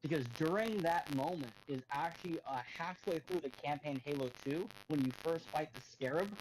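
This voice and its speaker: A man's voice: fairly high pitch, moderate pace, a little mellow, slight background noise, low-quality recording. A man as a podcast guest, chatting casually about video games like an eager fan explaining details.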